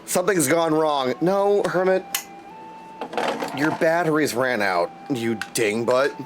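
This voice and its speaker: A man's voice: a little high, lively intonation, slow pace, background noise. A man chatting casually and playfully on a game livestream, teasing himself with silly words. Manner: playful, self-teasing, goofy wordplay. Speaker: game streamer. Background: video game music.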